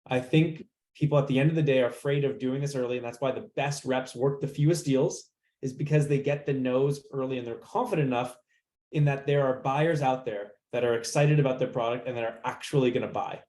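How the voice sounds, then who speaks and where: A man's voice: mid-range voice, fairly quick, darkish tone. Echoey man moderating a casual panel. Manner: informal, thinking aloud with rhetorical questions. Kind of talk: conversational discussion hosting. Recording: roomy, echoey sound.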